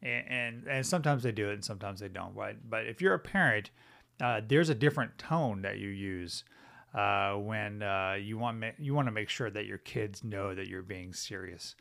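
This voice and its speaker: A man's voice: on the low side, moderate pace, creaky, a bit bright. A middle-aged man hosting a Bible teaching podcast, talking conversationally and thinking aloud with many fillers and "right?" tag questions.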